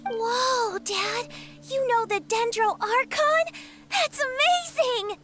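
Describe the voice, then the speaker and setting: A woman voicing an eager young girl in a game, with a high childlike voice. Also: slow pace. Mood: excited. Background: game music.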